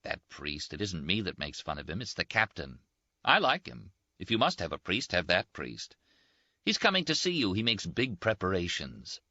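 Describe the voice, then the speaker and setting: A man voicing a brash, teasing young Italian army surgeon in an audiobook, quick and boastful. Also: low voice, huge pitch swings, pushed and bright, dry recording, dull audio, exaggerated voice. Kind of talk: audiobook character voice.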